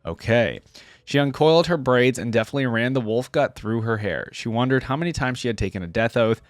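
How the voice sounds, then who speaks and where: A man's voice: mid-range voice, lively intonation, brisk, dry recording, studio quality. A man hosting a reaction video, reading a story aloud and commenting informally in a casual style. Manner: informal, breaks off to comment on what he reads.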